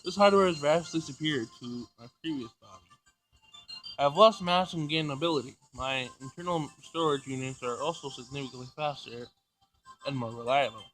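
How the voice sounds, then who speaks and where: A man's voice: medium pitch, brisk, a bit bright, soft background music, close to an everyday voice, poor audio. A man voicing a polite, literal-minded robot assistant from a visual novel, speaking in a precise, formal way. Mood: matter-of-fact. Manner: precise, formal, matter-of-fact. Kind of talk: visual novel character reading.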